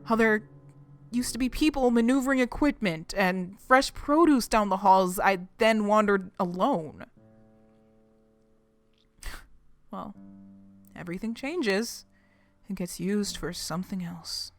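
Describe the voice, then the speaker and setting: A woman's voice: mid-range voice, animated, a little slow, faint music behind. An actress playing a reflective, homesick refugee woman reading her secret diary in an audio drama, confiding and wry. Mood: wry, pensive.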